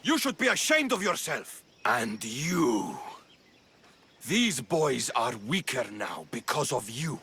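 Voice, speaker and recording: A menacing Spartan drill master scolds harshly in slow, forceful speech. His pitch leaps dramatically in this video game performance, with faint ambient noise behind. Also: big pitch swings, full character voice. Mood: angry, threatening.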